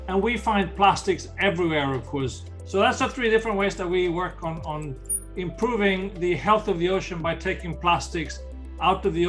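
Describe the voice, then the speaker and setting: An older man with a Swedish accent and a high-pitched voice talks earnestly in an interview. He explains things patiently and sometimes restarts his phrases. Soft music plays underneath. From Sweden. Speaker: ocean conservation leader. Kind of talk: interview answers.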